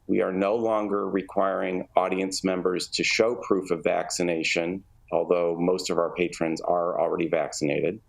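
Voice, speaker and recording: A man with a deep voice and noticeable gravelly fry gives explanatory interview answers. He phrases things in a measured, halting way, like an arts director laying out details. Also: little pitch movement, poor audio. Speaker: theatre artistic director, interview guest.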